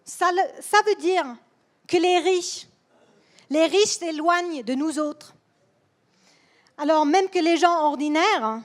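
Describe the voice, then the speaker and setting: A woman with a Swedish accent, speaking in a second language and giving a political speech at a rally, careful and deliberate, repeating words as she goes. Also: very high-pitched. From Sweden. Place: rally hall.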